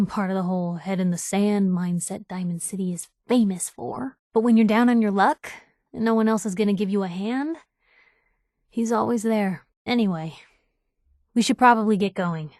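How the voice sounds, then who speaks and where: A woman's voice: mid-range voice, moderate pace, darkish tone, no echo, studio quality, natural voice. A woman voicing a sardonic, outspoken young newspaper reporter companion in a video game, in a wry conversational way. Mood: earnest, wry.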